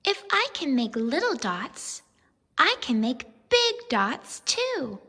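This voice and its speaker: A woman's voice: sits high, very animated, takes it slowly, bright, forceful tone, breathy edge, big-room sound, heavily acted. A woman voicing a stubborn young schoolgirl with a sulky, emphatic manner in a storybook read-aloud. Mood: determined. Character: discouraged, stubborn schoolgirl turned proud young artist.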